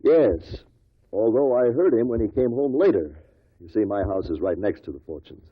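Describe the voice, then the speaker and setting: An actor playing a guarded stockbroker who gives measured, matter-of-fact testimony in a 1950s radio drama. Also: male voice, on the low side, lively intonation, slightly rough, no echo. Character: guarded businessman, male stockbroker giving testimony. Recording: tinny, dry old radio recording.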